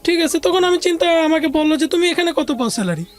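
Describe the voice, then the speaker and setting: High-voiced Bangladeshi woman telling her story. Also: animated, quick pace. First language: Bengali. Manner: conversational, mixes in English words. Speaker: interview guest. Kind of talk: recounting a personal life story.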